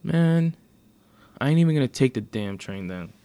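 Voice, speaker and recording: Guy doing a mouthy teen girl in an audiobook, slangy n indignant, voice pushed up higher. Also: animated, normal speed, dark tone, clear, resonant tone, natural voice. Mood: angry. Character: defiant sixteen-year-old girl, mouthy and upset.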